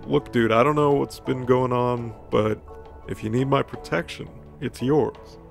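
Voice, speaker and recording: A man's voice: mid-range voice, a little slow, vocal fry, faint music behind. A man voicing a self-important but laid-back dragon lady, casual and self-assured, in a comedic recap. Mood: commanding.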